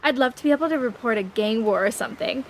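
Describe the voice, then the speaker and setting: A woman's voice: on the high side, fast talker, slight background noise, lightly acted. A woman playing a vain, snobbish young news reporter with a haughty, cutting manner in an audio drama. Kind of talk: audio drama character acting.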